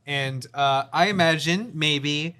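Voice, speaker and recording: A man with a bright, forward voice pitched a little high, hosting a casual group conversation. He talks haltingly as he tries to guide the others back to the subject. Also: slight background noise. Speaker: host of a group podcast. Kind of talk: casual group chat about video games and movies.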